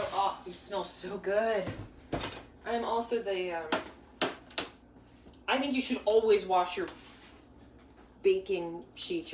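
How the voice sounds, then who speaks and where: A young woman hosting a live bake-along, talking casually while she stirs a pot, with lots of restarts and side stories. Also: mid-range voice. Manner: chatty, rambling, with many restarts and asides. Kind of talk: casual live cooking demo. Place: home kitchen. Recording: echoey kitchen, mic far off, faint hum.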